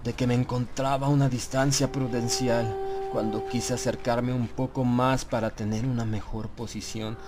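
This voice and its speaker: A man reading a first-person horror audiobook as narrator, with dramatic, measured storytelling and deliberate pauses. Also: mid-range voice, little pitch movement.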